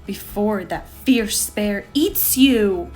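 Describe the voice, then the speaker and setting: A woman's voice: mid-range voice, lively intonation, extremely slow, a little mellow, faint music behind. Woman voicing a wistful, dramatic little witchling. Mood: frightened. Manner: dramatic, exclaiming and sighing. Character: little witchling girl, wistful, timid, eager for a friend.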